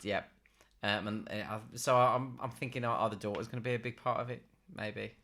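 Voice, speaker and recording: A man's voice: on the low side, steady tone, brisk, fairly bright tone. A British man hosting a podcast, chatting casually and jokily about films with a teasing manner. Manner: jokey, teasing. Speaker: podcast host. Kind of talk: casual film banter.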